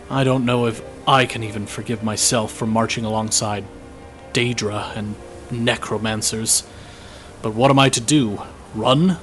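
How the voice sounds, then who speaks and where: A weary young male soldier played by an actor narrates his war journal in a fantasy audio drama. His mid-pitched voice is measured, reflective and weighed down by guilt, with clear music underneath. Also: lightly acted.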